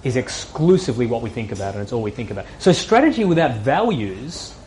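An Australian man speaks at a middle pitch, giving a morning talk to a room of professionals. He is relaxed, with frequent ums, and builds lists with rising emphasis. Faint hiss sits under the recording. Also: fast.

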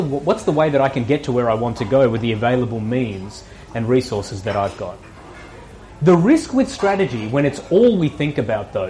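A man with an Australian accent giving a morning talk to professionals, relaxed, with many ums, building lists of points with rising emphasis. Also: a little high, slight background noise. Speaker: speaker.

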